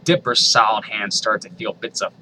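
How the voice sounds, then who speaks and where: A man's voice: fairly low pitch, fairly even intonation, moderate pace, bright, forceful tone, slight background noise. A man reading a story aloud dramatically, with exasperated, sardonic asides. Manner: exasperated, sardonic, swears freely. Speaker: reader of online fan fiction.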